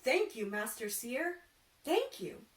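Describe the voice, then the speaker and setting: A woman voicing a gullible, excitable young male carpenter in a storybook reading. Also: on the high side, slow pace. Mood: delighted, eager. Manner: excitable, exclaiming. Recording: roomy echo, distant microphone.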